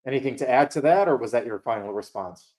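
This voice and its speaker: A man conducting a mock PA school interview, asking questions in a measured, formal way. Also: mid-range voice, lively intonation, darkish tone.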